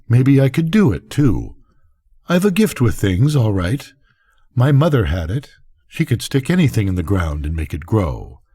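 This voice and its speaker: A proud farm wife from a bedtime storybook, acted out by an older man. The delivery is emphatic and lilting, swinging freely up and down in pitch, with a touch of smugness. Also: sing-song, normal speed, warm tone, breathy edge. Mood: proud, smug. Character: smug, proud farm wife, eager yet restrained.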